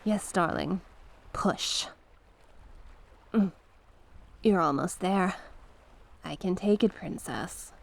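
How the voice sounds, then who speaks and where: A woman voicing a mysterious tentacled sea witch in an ASMR roleplay, a gentle, coaxing mentor who speaks in formal storybook phrases and sometimes slips toward a whisper. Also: lowish voice, takes it slowly, creaky, long pauses, heavily acted. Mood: urging. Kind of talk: ASMR roleplay, slow scripted monologue.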